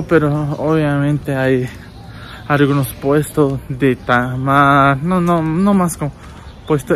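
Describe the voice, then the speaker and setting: A young man from Japan, speaking with a Japanese accent, chats casually in a vlog, repeating words and calling his viewers friends. He films himself on a phone held at arm's length. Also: a little high. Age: young adult. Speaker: vlogger. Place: city street outdoors, then indoors at a table. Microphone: wireless earbuds, phone at arm's length. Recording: street noise behind.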